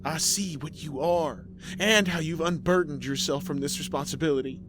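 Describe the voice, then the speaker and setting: A man's voice: on the high side, moderate pace, background noise, voice pushed up, lightly acted. A man voicing a desperate, defiant farm mother in a horror story, emphatic and accusing. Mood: bitter accusing.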